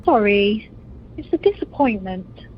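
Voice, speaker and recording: A woman acts out a tetchy new bride, let down and short with her husband, in an amateur radio comedy. Her mid-pitched voice comes over a dull line with faint noise. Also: expressive, a little slow, natural voice. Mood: disappointed. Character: tetchy, disappointed female newlywed wife. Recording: muffled conference call, faint noise.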